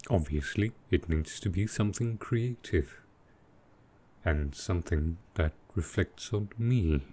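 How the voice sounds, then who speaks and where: A man voices a dragon boyfriend in an intimate audio roleplay. He is very low and unhurried, thinking aloud with doting, innocent earnestness. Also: full character voice. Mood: musing, content. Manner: slow, musing, softly doting, naive. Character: gentle, earnest male dragon boyfriend, new to technology.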